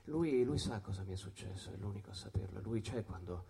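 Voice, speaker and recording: An actor playing a battered, broken jazz musician in a stage drama, telling his memories in a halting, brooding monologue. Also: lowish voice, lively intonation, vocal fry, warm tone. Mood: subdued, weary. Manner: halting, brooding, repeating words. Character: battered, broken jazzman recalling menace at a diner. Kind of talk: theatrical monologue.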